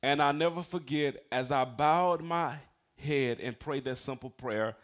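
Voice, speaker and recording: Male preacher, forties, American accent, mid-pitched voice, emphatic yet conversational sermon delivery with personal testimony. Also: a little slow. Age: 40s. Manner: emphatic, conversational, calls for amens. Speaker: pastor. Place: speaking to a congregation in a church building.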